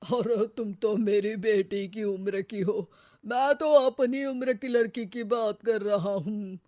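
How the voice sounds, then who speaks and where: A woman's voice: medium pitch, slow, mellow, close to an everyday voice. A woman voicing a cheerful, shameless elderly male suitor in a cartoon comedy story, eager and amused. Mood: amused correction.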